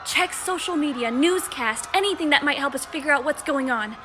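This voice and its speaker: A woman voicing a take-charge teenage superhero girl in an audio drama, commanding and energetic. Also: sits high, a little fast, noisy background. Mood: urgent, commanding. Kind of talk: fan audio drama acting.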